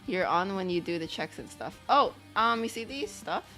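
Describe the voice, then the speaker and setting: A woman's voice: mid-range voice, animated, moderate pace, bright, forceful tone, soft background music. A woman livestreaming as she draws, chatting casually in a rambling way and reading out questions from viewers. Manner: rambling, chatty, reads chat questions aloud. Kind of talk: casual livestream chat while drawing.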